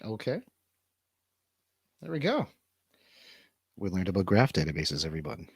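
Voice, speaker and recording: A man's voice: low-pitched, sing-song, normal speed, husky, no echo, clean studio sound. A man hosting a talk stream, casually chatting and asking questions. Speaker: livestream show host. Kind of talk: casual chat, interviewing. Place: home studio, seated on a couch.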